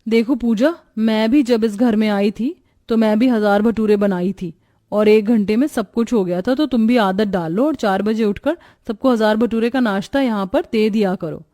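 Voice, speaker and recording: A woman's voice: fairly high pitch, normal speed, mellow, dry recording, clean studio sound, lightly acted. A woman voicing a strict, nagging elder sister-in-law in a cartoon story.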